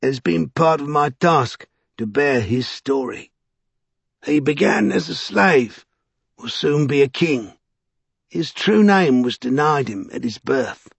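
Man acting a scoffing, husky street prophet, voice swooping high. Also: expressive, takes it slowly, no echo, full character voice. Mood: solemn, cryptic. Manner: scoffing, goading. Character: mocking, exasperated male street prophet, scornful and cryptic.

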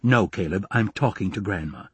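A man voicing an exasperated, placating husband and father in a measured way. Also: lowish voice, lively intonation, breathy edge, no room sound. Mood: firm, placating.

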